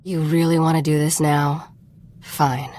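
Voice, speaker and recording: A woman's voice: sits low, level tone, measured pace, darkish tone. A woman playing a cool, pragmatic treasure hunter in a video game, guarded and businesslike. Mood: guarded, cold. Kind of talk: video game character acting.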